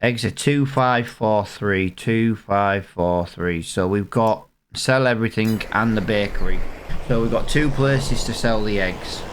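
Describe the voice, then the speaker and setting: A man's voice: on the low side, measured pace, slight background noise. A man with a congested, sniffly voice, casually thinking aloud with lots of fillers while playing a farming game. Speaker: gaming channel host. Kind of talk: casual let's-play commentary.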